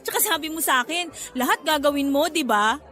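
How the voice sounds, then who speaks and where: Very high-pitched, brash, flippant girlfriend. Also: fairly quick, sharp tone. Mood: flippant, pushy. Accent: Filipino. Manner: cheeky, giggly, blunt, dramatic. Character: brash, flippant, manipulative pregnant young girlfriend. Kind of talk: Filipino radio drama acting.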